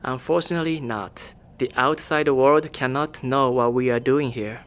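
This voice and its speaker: A man playing a cool, evasive male government official who speaks in a calm, formal, measured way, in an audio drama. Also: medium pitch, close to an everyday voice.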